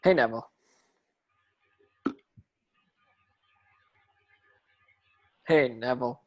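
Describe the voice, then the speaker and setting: A man's voice: mid-range voice, fast talker, a little mellow, natural voice. A man playing an earnest, modest teenage schoolboy hero in an amateur table read. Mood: awkward, questioning.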